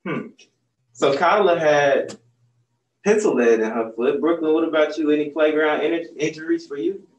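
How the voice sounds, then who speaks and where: A young man chats casually with kids about the picture book he wrote, his words a little softened by a face mask. His voice sits a bit high for a man. The sound reaches the camera from some distance in a roomy bookstore. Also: normal speed, dull audio, a little hiss or hum. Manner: relaxed, conversational, speaks through a face mask. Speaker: children's book author presenting his book. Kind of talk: casual storytime talk to kids. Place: bookstore, seated on a stool among shelves. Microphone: far camera.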